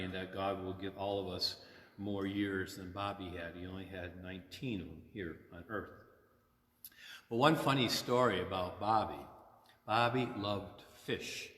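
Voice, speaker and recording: A man in his sixties, a priest, talking informally and simply to children at storytime, gently explaining and checking in with questions. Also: on the low side. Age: 60s. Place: inside a church. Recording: echoey, long reverb of a church.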